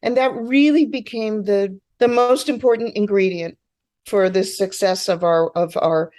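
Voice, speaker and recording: A woman explaining things as an interview guest, excitable and emphatic, often acting out what people say. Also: medium pitch, measured pace. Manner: excitable, emphatic, acts out quotes. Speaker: interview guest, food rescue organizer. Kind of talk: animated conversational explanation.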